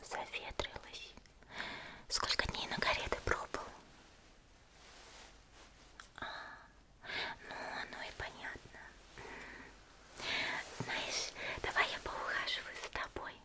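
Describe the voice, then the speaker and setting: A woman playing a cheerful, caring young female warrior in an ASMR spa roleplay, whispering gently and chattily without hurry. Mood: caring, chatty. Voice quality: breathy unvoiced whisper. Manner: gentle, unhurried, chatty, soft hesitations.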